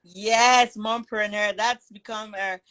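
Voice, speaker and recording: A woman's voice: medium pitch, fairly even intonation, takes it slowly, a bit bright. Earnest Cameroonian-born woman on a video call. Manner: earnest, talkative, with restarts. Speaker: guest, business founder. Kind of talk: live video call interview.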